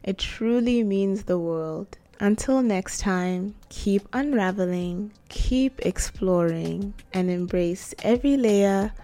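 Chatty woman podcast host, mellow voice. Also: medium pitch, slow, few pauses. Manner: chatty and animated, talks to listeners directly. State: losing her voice. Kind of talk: solo podcast monologue.

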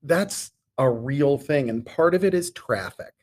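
A man's voice: medium pitch, expressive, a little slow, darkish tone. A middle-aged man speaking as a theatre director being interviewed on a podcast, articulate and enthusiastic, with ornate turns of phrase.

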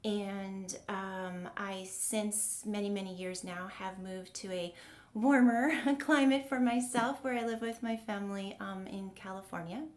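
A woman's voice: mid-range voice, steady tone, unhurried, echoey room. An American woman from Wisconsin, a musician, casually introducing herself in a hesitant, giggly way. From northern Wisconsin, USA. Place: online event on video call.

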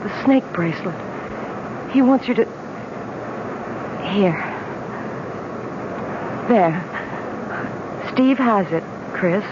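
Lively, muffled, plucky American wife. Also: female voice, mid-range voice, very slow, long pauses. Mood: anxious. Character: plucky, game young American wife caught among hostages. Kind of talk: 1970s American radio drama acting. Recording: muffled, noisy old radio broadcast recording.

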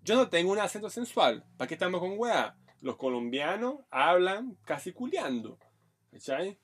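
A man from Brazil speaks with a Brazilian accent and a slightly high voice. He co-hosts a casual podcast, telling blunt, candid stories packed with local slang. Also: sing-song, normal speed, slightly rough. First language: Portuguese. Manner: frank storytelling, heavy Chilean slang. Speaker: podcast co-host. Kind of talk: casual chat.

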